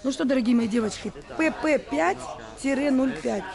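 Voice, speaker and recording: A woman films a casual shopping tour in a high, creaky voice. She puts questions to sellers and speaks fondly to her viewers, with a busy market crowd audible around her. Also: moderate pace. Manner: addresses viewers affectionately. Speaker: market vlogger. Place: busy open-air wholesale market. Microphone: handheld camera.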